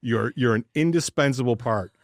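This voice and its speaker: A man's voice: medium pitch, normal speed, no room sound, clean studio sound. A man in his sixties hosting a podcast, talking casually in a blunt, conversational way. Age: 60s. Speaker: podcast host. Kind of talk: casual podcast chat and personal testimonial.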